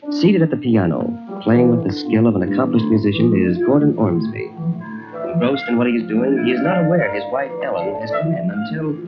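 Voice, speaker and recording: An actor playing an ominous host and storyteller of macabre 1940s radio tales, speaking deliberately and dramatically. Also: male voice, medium pitch, lively intonation, close to an everyday voice. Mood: dramatic storytelling. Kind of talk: 1940s radio horror narration. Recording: dull old radio tape, loud music behind.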